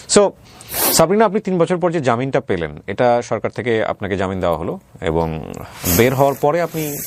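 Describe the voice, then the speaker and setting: A man's voice: low-pitched, slight background noise. A Bangladeshi man hosting an interview show, speaking emphatically and mixing in English words. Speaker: interview host. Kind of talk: talk show interviewing and addressing viewers.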